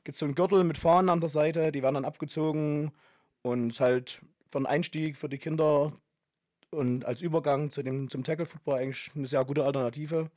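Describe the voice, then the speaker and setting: A man's voice: fairly high pitch, fairly even intonation, fairly quick, a little mellow, dry recording, clean studio sound. A man in his thirties hosting a casual podcast chat and cracking jokes as he talks. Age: 30s. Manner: joking, chatty. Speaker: podcast host and linebacker coach.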